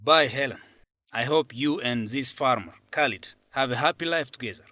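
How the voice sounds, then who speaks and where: A man's voice: medium pitch, moderate pace, pushed and bright, no echo, studio quality, natural voice. An actor playing a controlling, scheming young male student leader in an educational radio drama, defensive and insistent in manner. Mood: spiteful. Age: young adult.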